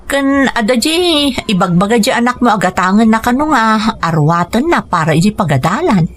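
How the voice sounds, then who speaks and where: An actress playing a gentle, patient mother and wife in a radio drama, speaking with a Filipino accent. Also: fairly high pitch, lively intonation, moderate pace, slight background noise, lightly acted. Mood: warm, domestic. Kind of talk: radio drama acting in domestic scenes. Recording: music bed behind radio drama dialogue.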